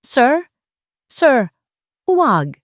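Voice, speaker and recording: A woman's voice: high-pitched, very animated, very slow, no echo, studio quality, voice pushed up, heavily acted. A woman voicing a desperate, falsely accused young nanny, pleading urgently with a cracking voice in an audio story. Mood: frantic pleading.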